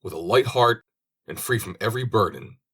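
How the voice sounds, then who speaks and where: Husky man reading a fairy tale expressively. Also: fairly low pitch, animated, brisk, fairly bright tone. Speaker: audiobook reader. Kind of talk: expressive storybook narration.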